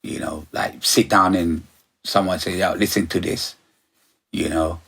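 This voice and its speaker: A middle-aged man from Ghana, his voice low and husky. He rambles through his answers, circling back with "you know" and "like I said.". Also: steady tone, a little slow, fairly bright tone. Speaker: sound system operator, guest. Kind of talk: relaxed interview chat.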